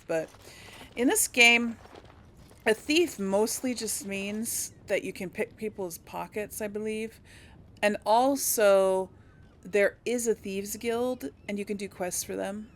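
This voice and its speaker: Slow-paced woman musing through a game. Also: medium pitch, a bit bright, a little hiss or hum. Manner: musing aloud, hesitant, conversational. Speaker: gaming video host and player. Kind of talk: casual role-play game commentary.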